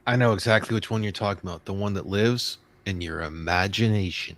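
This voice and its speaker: A man's voice: fairly low pitch, a little fast, a bit bright. A man in a casual podcast chat who drops sarcastic quips. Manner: wisecracking, sarcastic asides.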